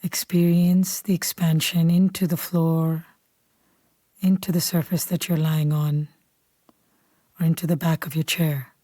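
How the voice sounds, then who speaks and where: A woman leading a guided meditation in a calm, unhurried, soothing way, softly and at times almost whispering. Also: low-pitched, no echo, studio quality. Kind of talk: guided meditation, slow scripted instruction.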